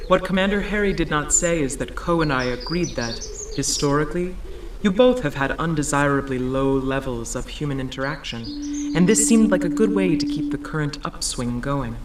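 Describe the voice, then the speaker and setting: Guy as a formal catty robot helper, higher voice, echoey sound, birds n faint hiss. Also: animated, moderate pace, close to an everyday voice. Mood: prim, matter-of-fact. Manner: precise, formal, matter-of-fact. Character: prim, faintly catty robot companion programmed to serve. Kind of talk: audio drama acting.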